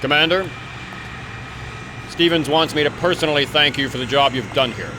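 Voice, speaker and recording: A man's voice: on the high side, normal speed, noisy background, lightly acted. A man playing a cold, menacing senior officer who gives authoritative orders in a video game cutscene. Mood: cold, controlled.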